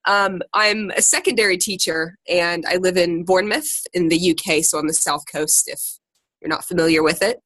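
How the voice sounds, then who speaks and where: A woman's voice: fairly low pitch, fairly even intonation, moderate pace, a bit bright, dry recording, rough recording. An American woman with a chatty, informal, self-deprecating way of talking, giving a casual motivational talk to a group on a conference call. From Ohio, United States. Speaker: secondary teacher and podcaster leading a group call.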